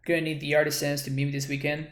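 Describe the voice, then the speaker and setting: A man's voice: a little high, completely flat delivery, fast, a bit bright. Man, roomy sound. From Argentina. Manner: thinking aloud, working through plays. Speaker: streamer. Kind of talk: live card game commentary. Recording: roomy echo.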